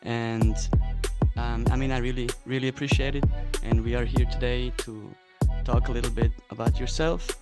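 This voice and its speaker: A man's voice: medium pitch, steady tone, normal speed, over loud music. A thirty-year-old man from Switzerland hosting a casual, informal podcast interview. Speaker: podcast host.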